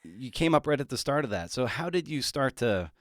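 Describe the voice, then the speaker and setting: A man hosting a conversational interview podcast, asking informal, slightly rambling questions in a halting way. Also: medium pitch, big pitch swings, no echo, studio quality. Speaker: podcast host interviewing an author.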